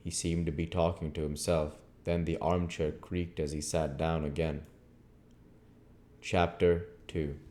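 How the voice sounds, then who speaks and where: A man with a low-pitched voice reads a story aloud. He narrates in an audiobook style, working through literary prose. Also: little pitch movement, a little slow, mellow. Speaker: audiobook reader.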